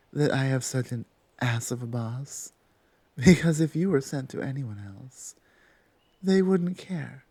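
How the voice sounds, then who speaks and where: A woman playing a stern female minotaur guard captain with a soft heart in an ASMR roleplay, speaking deliberately with weighty pauses. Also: deep voice, dry recording, heavily acted. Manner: deliberate, pausing, commanding. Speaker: audio roleplay performer. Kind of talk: ASMR roleplay acting, addressing the listener.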